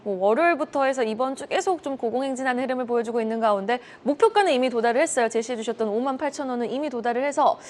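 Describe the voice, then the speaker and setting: A woman's voice: fairly high pitch, rapid-fire, few pauses, a little mellow. A woman hosting a market news broadcast, putting questions to guests and summing up in a polished, courteous way. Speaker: news anchor, host. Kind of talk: broadcast interviewing and summarizing.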